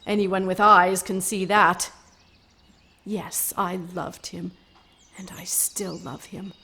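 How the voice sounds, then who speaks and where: A woman's voice: on the low side, steady tone, slow, pushed and bright, slight background noise, heavily acted. An actress playing a proud, defiant young noblewoman in a radio drama, speaking formally with bitter scorn. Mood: scornful, impassioned.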